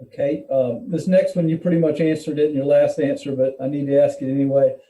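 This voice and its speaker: A man's voice: fairly high pitch, level tone, fairly quick, mellow. A man chairing a public meeting, putting formal questions in a procedural, courteous manner. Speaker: board chair running a hearing. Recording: dull echoey room, distant mic.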